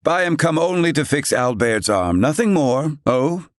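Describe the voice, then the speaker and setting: A man's voice: fairly high pitch, sing-song, normal speed, no room sound, clean studio sound. A man voicing a stern, haughty learned character in a video game, speaking in pompous, clipped, archaic formal diction. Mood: gruff, disdainful.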